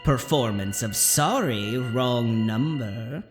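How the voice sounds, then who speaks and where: A man's voice: medium pitch, takes it slowly. A man giving the closing announcement of an audio drama podcast as its announcer. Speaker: announcer reading the credits. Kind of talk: podcast outro narration. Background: music.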